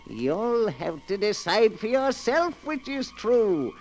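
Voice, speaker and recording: A sinister old keeper of horror tales, speaks in a high voice that swoops up and down. He draws out his words very slowly with theatrical, gleeful menace. It is 1940s radio horror on a noisy old recording. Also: sing-song, faint music behind, exaggerated voice. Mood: sly, teasing.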